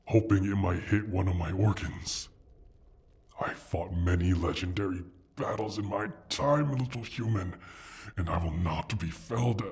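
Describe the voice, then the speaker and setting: A man playing a proud, injured dragon in a fantasy audio roleplay, menacing and pompous, with a husky, breathy rasp. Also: deep voice, a little mellow, heavily acted. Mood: suspicious, indignant. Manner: pompous, disdainful, halting theatrical delivery.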